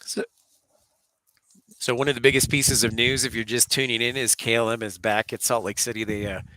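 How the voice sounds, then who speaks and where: A male livestream host with a bright, forward voice, slightly stuffed up from a head cold. He talks casually and chattily, greeting viewers between bits of live commentary. The sound is close and dry. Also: medium pitch, fairly even intonation, brisk, dry recording. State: congested with a head cold, sore throat. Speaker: livestream host, aviation spotter. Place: live at an airport.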